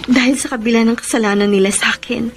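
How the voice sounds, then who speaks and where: A woman's voice: on the high side, steady tone, fast, faint music behind, natural voice. An actress with a Filipino accent playing a hurt but kind-hearted young woman in an emotive, plaintive radio romance style. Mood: subdued, grieving.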